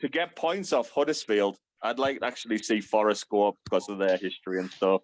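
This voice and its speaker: A man in a casual chat among fans, measured and thinking aloud as he talks. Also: lowish voice. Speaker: podcast guest.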